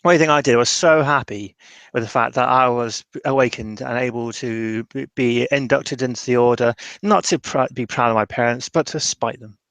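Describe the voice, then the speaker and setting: A tormented mage played by a man in a tabletop roleplay, bitter and defensive. The voice is mid-pitched and dryly recorded, with halting, emotional phrases that often trail off into regret. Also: a bit bright, no room sound, natural voice. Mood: bitter, regretful. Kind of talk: improvised tabletop roleplay monologue.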